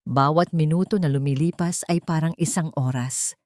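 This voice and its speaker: A woman's voice: low voice, moderate pace, mellow, dry recording, clean studio sound. A woman narrating a scripted dramatic story.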